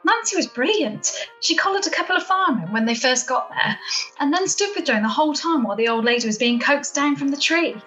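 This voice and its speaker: A woman acts a gossipy, matter-of-fact neighbour in a homemade radio comedy. Her voice is high and her delivery wry. The recording is a home video call with quiet music underneath. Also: animated, a little fast, highs cut off. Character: wry, gossipy female neighbour relishing a scene. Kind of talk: amateur radio play acting.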